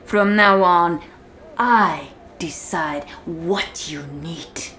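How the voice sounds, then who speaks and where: Woman playing domineering female warden, ASMR roleplay, lowish pitch, extremely unhurried, condescending, commanding, whispery drops. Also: pushed and bright, slight background noise, full character voice. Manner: slow, deliberate, condescending, dropping into whispers. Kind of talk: ASMR-style roleplay monologue spoken to the listener.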